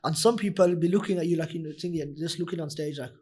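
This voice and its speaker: A young man with a husky, deep voice, a nasheed reciter talking earnestly in a casual interview, full of "you know" fillers. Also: fast, a little mellow. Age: young adult. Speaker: nasheed artist guest.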